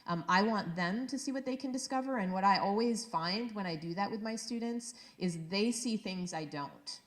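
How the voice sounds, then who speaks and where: A middle-aged woman, a high school history teacher, giving an enthusiastic guest talk to an audience with a big projecting voice, speaking into a handheld microphone. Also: normal speed. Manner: energetic, enthusiastic, teacherly with many fillers. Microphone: handheld microphone held low.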